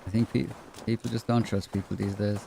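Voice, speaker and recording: A man's voice: fairly low pitch, flat delivery, fairly quick, gravelly. A man from Ireland with an Irish accent, streaming a game and chatting casually with viewers in a rambling way, full of fillers. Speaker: gaming streamer. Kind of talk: casual gameplay chat with viewers. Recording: noisy gameplay audio with horse sounds.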